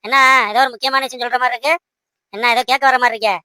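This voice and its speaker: Cartoon fiancé, young man played by a woman: bossy, coaxing, insistent, bright and forward, very dry sound. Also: on the high side, fairly even intonation, racing, dry recording. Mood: coaxing, curious. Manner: insistent, repeats short commands and questions. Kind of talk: amateur cartoon dubbing.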